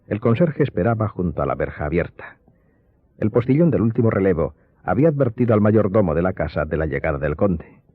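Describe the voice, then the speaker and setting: A man narrating a radio novel in a measured, literary, dramatic storytelling style. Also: sits low, very animated, no echo. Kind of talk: dramatic scripted audiobook narration. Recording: muffled audio under prominent music.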